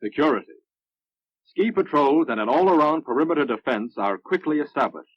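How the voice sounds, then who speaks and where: An American man narrates a military training film with measured, instructive delivery. His voice is a bit husky and sits at a middling pitch. The sound is dry and dull, like a vintage film soundtrack. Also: animated, dry recording.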